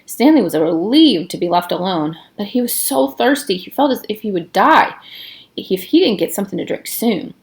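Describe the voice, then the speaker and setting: A woman reads a children's novel aloud as narrator. Her voice sits at a middle pitch, and she gives the story an expressive, emphatic delivery. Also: moderate pace. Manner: acts out dialogue with emphasis.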